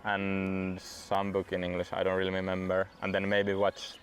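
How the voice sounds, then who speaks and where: Low-voiced Swedish-speaking man, chatty. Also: a little hiss or hum. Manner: relaxed, fills every pause with "like". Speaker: guest disc golf player. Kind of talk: casual interview chat.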